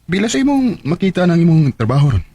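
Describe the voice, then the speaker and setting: An actor playing a shy, smitten young working man with a Filipino Cebuano accent, halting and nervous, in a radio drama. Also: high-pitched, very animated, dark tone, husky, dry recording, heavily acted. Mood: smitten, hesitant. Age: young adult. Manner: hesitant, halting with stammered interjections. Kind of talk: Bisaya radio drama acting. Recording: radio drama with music underneath. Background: background music.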